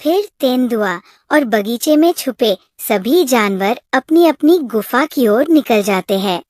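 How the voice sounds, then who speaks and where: A woman's voice: a little high, expressive, takes it slowly, a little mellow, dry recording, clean studio sound. A woman narrating a scripted moral story for a cartoon.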